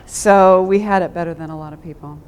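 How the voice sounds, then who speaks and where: A woman speaking as a guest theater director in a panel discussion, thoughtful and expressive, pausing as she thinks. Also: fairly low pitch.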